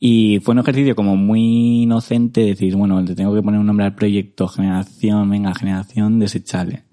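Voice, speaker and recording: Man, young adult, Madrid accent, dark mellow voice, hesitant, many fillers, casual interview. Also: on the low side, little pitch movement, a little fast. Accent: Madrid Spanish. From Spain. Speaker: guest photographer.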